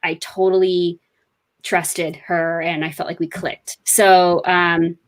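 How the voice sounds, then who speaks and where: A woman's voice: lowish voice, little pitch movement, takes it slowly. Chatty woman author, rambling. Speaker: author guest. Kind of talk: casual interview chat.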